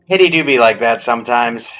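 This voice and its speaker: A man's voice: mid-range voice, animated, brisk, a bit bright, soft background music. A man giving casual gameplay commentary as a streamer, thinking aloud as he goes. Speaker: game streamer.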